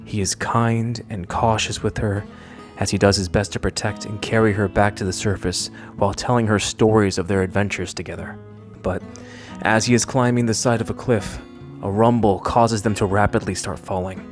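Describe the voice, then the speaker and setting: Low-voiced man narrating over faint music. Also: fairly even intonation, moderate pace. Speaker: narrator of a fan video essay. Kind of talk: scripted narration.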